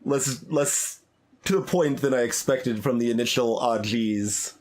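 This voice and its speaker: A man's voice: medium pitch, normal speed, darkish tone. A man giving live playthrough commentary on a visual novel, hesitant and thinking aloud as he reads. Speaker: streamer playing a visual novel.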